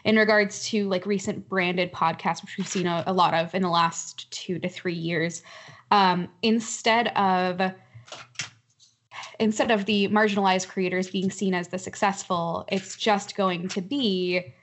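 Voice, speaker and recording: A woman speaking as a panelist in a roundtable discussion, in a measured, deliberate way. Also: medium pitch, fairly even intonation.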